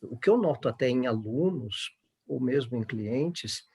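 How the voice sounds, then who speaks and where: Husky, creaky-voiced Brazilian man, fairly high-pitched. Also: animated, measured pace, darkish tone. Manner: discursive, often seeking agreement with tags. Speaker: astrologer and panel speaker. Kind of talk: informal panel discussion.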